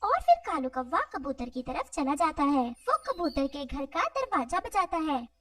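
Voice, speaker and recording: A woman's voice: high voice, big pitch swings, normal speed, no room sound, recorded from a distance. A woman narrating a scripted moral story for children.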